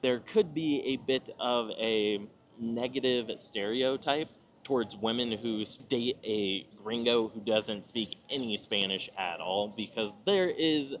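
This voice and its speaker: An American man from Nebraska hosting an informal advice monologue, talking in a measured, explanatory way with emphatic pauses. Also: medium pitch, a bit bright, slight background noise. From Nebraska, United States. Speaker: video host giving travel advice.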